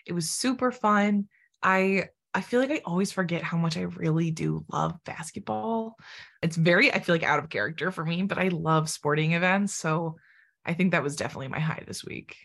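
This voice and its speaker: A young woman hosts a casual podcast and steers the chat in an easygoing way. Her voice is on the low side, and she softens her words with plenty of hedges. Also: moderate pace, vocal fry. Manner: easygoing, hedging with "kinda" and "I feel like". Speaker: podcast host and interviewer.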